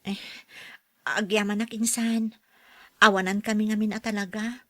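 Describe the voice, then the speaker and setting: A woman's voice: mid-range voice, little pitch movement, normal speed, sharp tone, no echo, clean studio sound. A woman playing a humble, grateful poor cousin who cares for her father, in an Ilocano radio drama. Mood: weary, humble.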